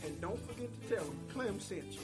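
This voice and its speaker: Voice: mid-range voice, animated, a little fast, lightly acted. An actor voicing Clem's type of jolly old-time prospector, with a folksy, exclamatory delivery in an advertisement. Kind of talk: advertisement, folksy character pitch. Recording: loud music behind the voice. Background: loud plucked guitar music.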